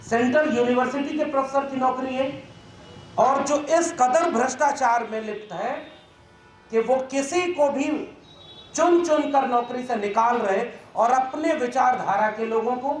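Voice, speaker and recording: A man giving an emphatic, indignant, oratorical speech as a professor at a press conference. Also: very high voice. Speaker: university professor and activist speaker. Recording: echoey room with faint background noise.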